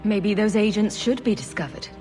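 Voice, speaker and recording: Woman as a sardonic adventurer, clear music behind. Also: medium pitch, moderate pace, darkish tone, close to an everyday voice. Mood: probing. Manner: dry, wry, flippant. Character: sardonic, confident female adventurer hero. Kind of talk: video game cutscene acting.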